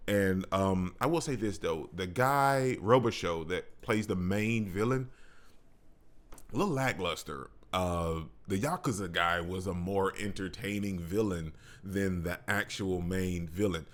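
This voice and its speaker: Male video reviewer, low voice, slangy and enthusiastic, casual conversational delivery. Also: a little slow. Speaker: movie reviewer and channel host.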